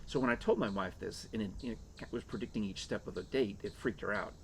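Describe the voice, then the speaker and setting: A man's voice: lowish voice, brisk. Middle-aged man chatting, noisy outdoor ambience. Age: 50s or 60s. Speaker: talk show co-host. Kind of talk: casual banter and anecdotes. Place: screened porch with open greenery outside. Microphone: shared tabletop condenser microphone. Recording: clear outdoor ambient noise.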